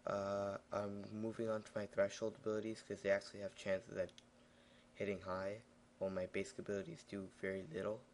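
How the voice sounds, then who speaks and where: A young man recording a casual gameplay voiceover, raspy and tired in the morning, rambling with many fillers. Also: lowish voice, monotone, a little fast, slight background noise. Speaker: gaming video narrator.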